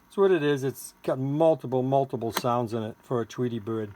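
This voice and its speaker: A man's voice: medium pitch, fairly quick. Man demonstrating a gadget, noisy recording. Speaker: seller demonstrating his homemade radio gadget. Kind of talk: informal product demonstration.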